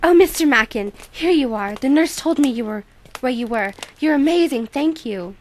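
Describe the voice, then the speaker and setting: Woman playing a meek, higher-pitched radio damsel. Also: lively intonation, moderate pace, a little hiss or hum. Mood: grateful. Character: nervous, grateful young small-town woman client. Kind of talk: old-time radio drama acting.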